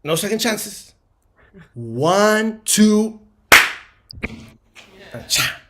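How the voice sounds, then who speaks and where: A man's voice: high voice, expressive, very slow, very noisy. A man with a Lithuanian accent leading a live event in a playful, theatrical way, sometimes dropping to a whisper. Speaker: performer closing a segment. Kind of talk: playful live stage performance. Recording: guitar music behind the voice.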